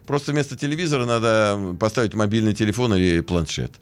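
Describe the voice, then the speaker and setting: A man hosting a radio show, reading and answering listener messages in a deliberate, admonishing, haltingly phrased way. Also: lowish voice, very noisy. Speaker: radio host. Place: radio studio.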